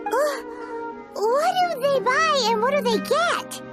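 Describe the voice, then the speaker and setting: A woman's voice: extremely high pitch, takes it slowly. A woman voicing a tiny floating female game companion who is chatty and blunt, refers to herself in the third person, and makes exasperated quips. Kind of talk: video game voice acting. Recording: game audio over loud music. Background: game background music.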